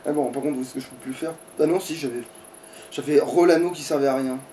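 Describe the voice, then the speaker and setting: A man's voice: medium pitch, fast talker, warm tone, far from the mic, a little hiss or hum. A man chatting casually as he plays a video game, grumbling and swearing in an informal, conversational way. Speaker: let's play gamer, playing the game. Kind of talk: casual gaming chat, commentary.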